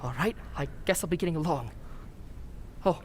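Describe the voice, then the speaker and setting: A woman's voice: moderate pace, lightly acted. A woman playing an earnest, polite, nervous young townsman in old-time radio drama style, with hesitant delivery. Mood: helpful. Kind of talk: 1940s radio drama acting. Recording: faint rumbling background noise.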